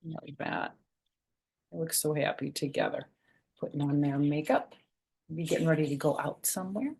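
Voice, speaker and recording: Older female storyteller for children's picture-book read-aloud, slightly low voice, sing-song on the text, soft and chatty between lines. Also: normal speed, gravelly, husky. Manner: expressive, sing-song reading with soft, gentle asides. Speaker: storyteller, children's author and former schoolteacher.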